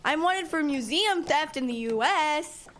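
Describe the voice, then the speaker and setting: A woman's voice: high-pitched, expressive, a little slow, fairly bright tone, a little hiss or hum. A young female actor playing a cocky, melodramatic kid outlaw on the run in an audio drama. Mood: brash, put out.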